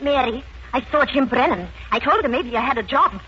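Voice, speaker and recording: Casting: soothing sister, high voice, radio drama, noisy old recording. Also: female voice, lively intonation, a little fast, a bit bright, lo-fi sound. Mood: caring. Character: soothing widowed older sister in poor household. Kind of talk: 1940s radio acting.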